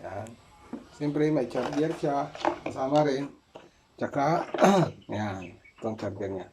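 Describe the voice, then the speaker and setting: Filipino guy doing a casual unboxing, short unscripted bits, clear background noise and clicks. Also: mid-range voice, slow pace. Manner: unscripted, talks in short fragments while handling items. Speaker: product unboxer.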